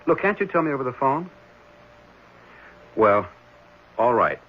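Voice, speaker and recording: A man's voice: mid-range voice, big pitch swings, measured pace. A man playing a concerned, thoughtful and somewhat puzzled ordinary man in a 1970s radio drama, speaking conversationally. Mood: concerned, obliging. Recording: dull, slightly noisy 1970s radio recording.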